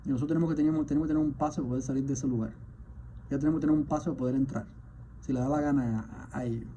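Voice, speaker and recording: A man, a physician, delivering an impassioned spoken message, indignant and firing off rhetorical questions, at times surging louder. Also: mid-range voice, fairly even intonation, racing. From Cuba. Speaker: physician, rheumatologist. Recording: dull lo-fi sound with faint background noise.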